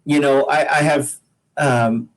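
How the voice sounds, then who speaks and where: A man from the Pacific Northwest, a singer-songwriter, chatting casually as an interview guest in a halting, repetitive way. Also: medium pitch, steady tone, slight background noise, low-quality recording. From Pacific Northwest, United States.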